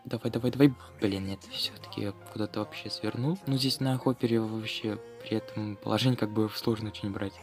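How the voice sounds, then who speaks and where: Low voiced guy chatting through his gameplay, slangy and informal, music going behind him. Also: fairly even intonation, brisk, few pauses, darkish tone. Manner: informal, slangy running commentary addressed to viewers. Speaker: gaming video host. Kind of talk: casual gameplay commentary.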